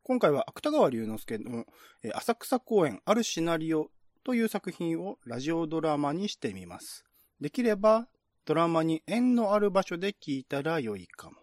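A man's voice: a little high, very animated, moderate pace, dry recording, studio quality. A man who works as a radio drama host and narrator, presenting and reading scripts.

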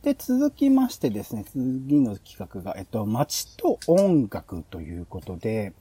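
A man's voice: mid-range voice, huge pitch swings, a little slow, mellow, slightly rough. A man hosting a podcast, explaining ideas casually and thinking aloud in long, loosely linked sentences. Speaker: podcast host. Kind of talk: casual explanatory monologue pitching show ideas.

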